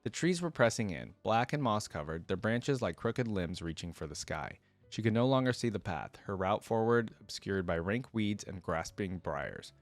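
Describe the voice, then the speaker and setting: A man's voice: fairly low pitch, animated, normal speed, no echo, faint music behind. A man giving casual reaction commentary and reading fantasy lore aloud as the host of a reaction video.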